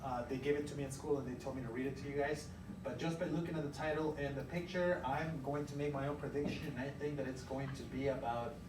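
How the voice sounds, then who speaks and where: A man teaching a class through an interactive read-aloud, pausing to question and explain as he goes. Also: mid-range voice, steady tone. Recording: echoey room, far from mic, loud noise.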